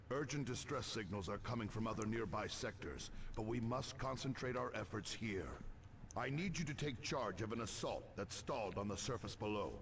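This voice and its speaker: An actor playing a stern space marine commander, authoritative and formal, in a sci-fi military game briefing. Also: male voice, lowish voice, distant mic, background noise, natural voice.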